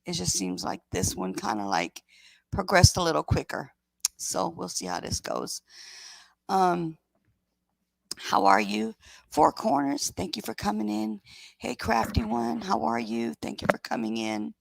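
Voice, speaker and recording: A woman hosting a casual livestream in a raspy voice, sick with a scratchy throat and talking in a rambling, halting way. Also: medium pitch, animated, no echo. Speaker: livestream host.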